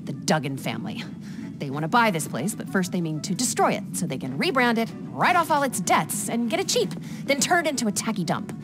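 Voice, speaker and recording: A woman's voice: medium pitch, big pitch swings, natural voice. An actress playing a formal, exasperated businesswoman manager in a video game cutscene. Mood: concerned, businesslike. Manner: formal, measured. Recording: music behind the dialogue.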